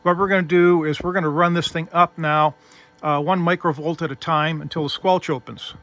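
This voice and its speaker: Bright, higher-pitched man giving a hands-on demo. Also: steady tone, brisk. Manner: methodical, step-by-step explaining. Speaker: host demonstrating vintage radio gear. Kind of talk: casual hands-on technical demonstration.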